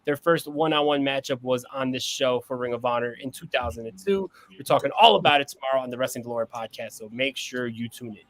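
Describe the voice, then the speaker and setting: A man co-hosting a podcast, giving a quick, informative rundown of an upcoming episode. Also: medium pitch, fairly bright tone. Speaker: podcast co-host. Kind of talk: podcast show preview.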